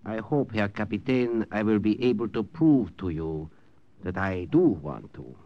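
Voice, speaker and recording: An actor playing a polite, deferential German officer with a German accent in an old-time radio drama. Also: male voice, on the low side, lively intonation, measured pace. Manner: formal, courteous, careful. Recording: dull, band-limited vintage radio recording.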